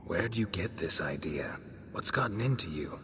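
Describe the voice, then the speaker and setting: An actor playing a gruff, laconic male monster hunter with a gravelly, very deep voice in a game cutscene. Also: expressive, normal speed, a bit bright, slight background noise. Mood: dry. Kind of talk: video game cutscene acting.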